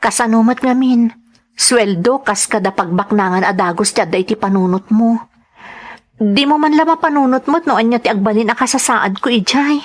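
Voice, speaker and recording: A woman's voice: on the high side, normal speed, natural voice. A woman with an Ilocano accent playing an earnest, hurt young wife in an audio drama.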